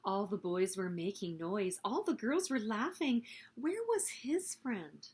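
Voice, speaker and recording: A woman hosting a story time, reading a picture book aloud in an expressive, animated way meant for young children. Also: mid-range voice, a little slow, slight background noise.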